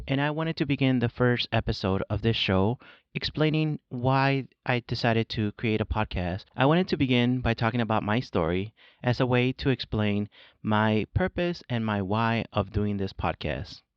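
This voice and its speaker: A man from Mexico whose first language is Spanish, hosting a podcast and reading a scripted personal story in a measured way. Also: medium pitch, animated, clean tone, no room sound, muffled recording. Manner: measured, read-aloud delivery. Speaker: podcast host. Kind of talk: scripted solo narration.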